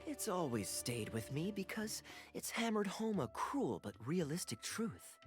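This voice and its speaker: An actor voicing a suave, easygoing adventurer in a video game, with playful charm and teasing, smooth phrasing. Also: male voice, high-pitched, very animated, a little slow, faint music behind. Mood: suave, courteous.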